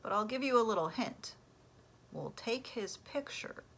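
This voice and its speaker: Woman playing a determined dad, bright-voiced. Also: fairly high pitch, lively intonation, measured pace, creaky, a little hiss or hum, pitched above the performer's own voice, exaggerated voice. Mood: mysterious. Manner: resolute, teasingly mysterious. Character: determined, secretive father in a children's storybook.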